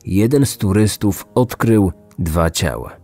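A man's voice: deep voice, expressive, slow pace, darkish tone, no echo. A man reading scripted true-crime narration as a podcast host. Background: music.

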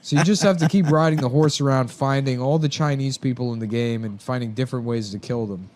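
A man in his thirties talks casually, as if hosting a comedy podcast among friends. His joking is crude and sarcastic, with an ordinary mid-pitched voice. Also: quick pace, darkish tone. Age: 30s. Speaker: podcast host.

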